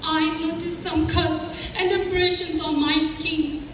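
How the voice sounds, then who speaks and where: A woman's voice: extremely high pitch, steady tone, slow pace, pushed and bright, full character voice. A woman performing a stage reading as a hurt, ashamed young victim. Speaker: student teacher performing in a readers' theatre. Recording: very echoey hall, distant mic, noisy.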